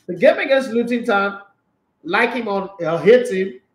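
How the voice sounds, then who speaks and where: Animated man, echoey room. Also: high-pitched, a little slow. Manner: animated, rambling, gestures a lot. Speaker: sports show host answering viewer comments. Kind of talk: casual football commentary chat. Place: small indoor studio room.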